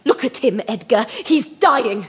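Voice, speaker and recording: An actress playing a middle-aged housekeeper in a period radio drama, a cold, sharp-tongued wife with an imperious, withering manner. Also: female voice, on the high side, animated, a little slow, husky, exaggerated voice.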